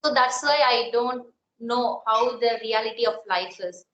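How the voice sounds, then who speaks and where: A young woman with a Tamil accent talks as an interview guest, pausing to search for words. Her voice sits a little high. It rings in an echoey room with faint background noise. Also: fairly bright tone, dull audio. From South India. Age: young adult. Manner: halting, searching for words, non-native phrasing. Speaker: interview guest, college student. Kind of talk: casual interview chat.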